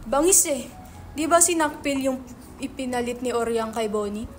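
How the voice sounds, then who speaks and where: A high-pitched voice plays an excitable young writer in a stage play a woman acting the male part. The delivery is theatrical and teasing, with music playing underneath. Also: moderate pace, natural voice. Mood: excited, teasing. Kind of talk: dramatic reading of a stage play.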